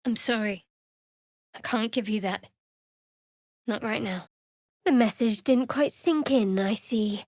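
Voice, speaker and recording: Game role: secretive young female cleric, sardonic and guarded, lightly high register, very dry studio sound. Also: slow pace, pauses often, darkish tone, dry recording. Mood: earnest. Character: guarded, wry young woman cleric of a dark goddess. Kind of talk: fantasy video game voice acting.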